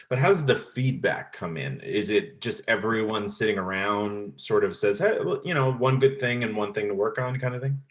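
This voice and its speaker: A man hosting an interview in a casual, informal, encouraging way. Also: fairly low pitch. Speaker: podcast host, interviewer.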